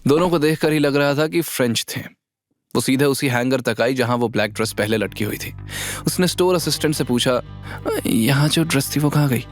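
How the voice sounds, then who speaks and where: A man's voice: mid-range voice, brisk. A man narrating a scripted drama story with dramatic storytelling delivery, over music. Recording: music bed under voice. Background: background music.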